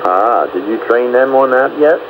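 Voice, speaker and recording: A man's voice: mid-range voice, lively intonation, moderate pace. A man chatting casually over a two-way radio. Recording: narrow, phone-like radio buried in noise.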